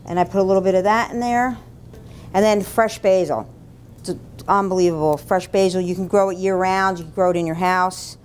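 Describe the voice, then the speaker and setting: A woman's voice: fairly even intonation, a little fast. A middle-aged woman giving a casual, plain-spoken cooking demonstration as an instructor in an indoor kitchen. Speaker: cooking demonstrator, food and beverage director. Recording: low rumble, faint room noise.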